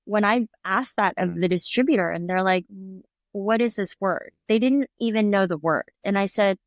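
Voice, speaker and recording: A woman's voice: medium pitch, moderate pace, dry recording, studio quality. A middle-aged woman telling stories in a casual interview, chatty, laughing often and acting out quoted voices. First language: English. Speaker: restaurant owner, guest.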